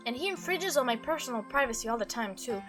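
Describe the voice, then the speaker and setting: A woman's voice: fairly high pitch, animated, fast, over music. A woman hosting a game playthrough, reading dialogue aloud expressively and adding her own commentary. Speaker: gaming video host.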